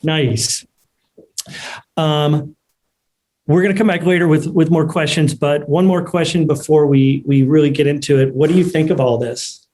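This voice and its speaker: Higher-pitched man hosting, halting delivery. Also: fairly even intonation, dark tone. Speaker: host of an online award ceremony. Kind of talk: unscripted event hosting. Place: remote video call.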